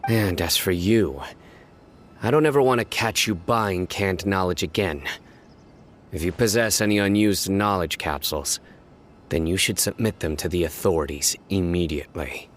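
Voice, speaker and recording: A man voicing a stern, calm investigator in a video game, speaking in a measured, authoritative and unyielding way. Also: sits low, slight background noise, lightly acted. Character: stern male law enforcer investigating smugglers, calm and severe. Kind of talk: English video game voice acting.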